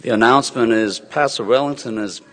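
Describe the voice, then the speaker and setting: A pastor giving church announcements and telling a story in a rambling, storytelling way, with many fillers and restarts. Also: male voice, medium pitch, fairly quick. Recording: long hall reverb.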